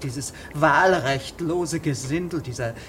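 An actress playing a haughty, officious female magistrate, pompous and quick to take offence in a radio drama. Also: sits low, a little hiss or hum. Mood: indignant, outraged. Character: imperious, indignant female magistrate eager for an execution.

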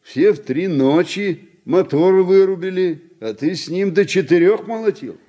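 A man acting in a Soviet radio drama as a steady, wry older farm worker, folksy and gently chiding. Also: high-pitched, unhurried. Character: wry, steady older farmhand, mentor to the young.

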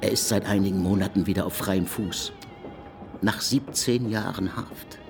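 An actor playing a self-assured, probing criminologist in a German radio play, speaking formally and precisely. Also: male voice, low voice, normal speed, gravelly, over music. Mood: confiding, insistent.

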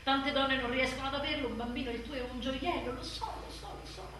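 A woman's voice: high-pitched, fairly quick. An actress playing an exhausted, exasperated new mother in a bitterly funny, dramatic confessional stage monologue. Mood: drained, sad. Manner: dramatic, sardonic, swinging from muttered to shouted. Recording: roomy distant theatre sound, faint noise.

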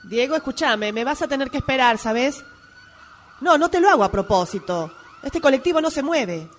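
A woman's voice: sits high, animated, normal speed. A woman acting a defensive, exasperated girlfriend arguing by phone in an Argentine accent, in a radio drama. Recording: amateur workshop recording, sirens and traffic noise.

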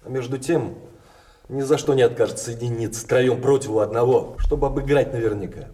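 Proud card sharp, played by a man, sounding distant. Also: mid-range voice, brisk, close to an everyday voice. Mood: confiding, eager. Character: cool-headed, proud card sharp, boastful of his craft. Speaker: stage actor. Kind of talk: theatrical radio play acting.

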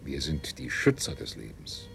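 A man's voice: a bass voice, moderate pace, vocal fry, bright, forceful tone, full character voice. A man playing a smug, gleefully sinister ruler-scientist in a German science fiction radio play, declaiming pompously. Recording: radio drama with music underneath.

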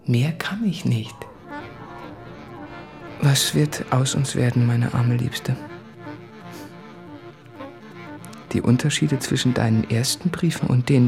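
Tormented fiancé, creaky mid-pitched voice, brooding confessional monologue, radio play, music underneath. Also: male voice, a little mellow. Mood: whispered, powerless, then resigned. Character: anguished, self-doubting man writing to his fiancée.

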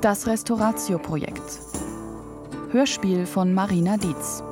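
A woman's voice: mid-range voice, a little slow. A woman giving a scripted title announcement for a radio drama. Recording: loud guitar music behind voice.

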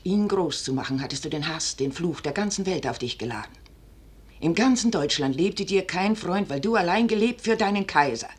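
An actress playing a forceful, scheming noblewoman in a 1950s German radio drama, declaiming insistently in classical stage style with a husky voice. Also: fairly low pitch. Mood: accusing, bitter. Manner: rhetorical, insistent, theatrically declaimed. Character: forceful, scheming noblewoman urging a wavering commander to seize power.

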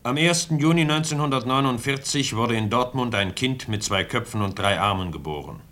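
An actor playing a sober narrator who reads out an official report in a radio play, formal and detached. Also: male voice, medium pitch, animated, fairly quick, a bit bright, lightly acted.

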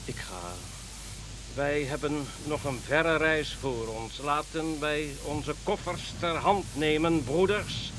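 A man's voice: on the high side, slow. A man playing a grave, devout king in a radio play, speaking formally like a preacher. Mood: solemn exhortation. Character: solemn, devout king following a star. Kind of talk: Dutch radio play acting. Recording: faint background hiss.

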